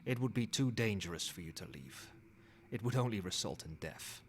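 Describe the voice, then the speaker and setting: A man playing a possessive, controlling old vampire in a soft-spoken, measured ASMR roleplay. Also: fairly low pitch, expressive, a little hiss or hum. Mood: stern, insistent. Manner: measured, formal, commanding.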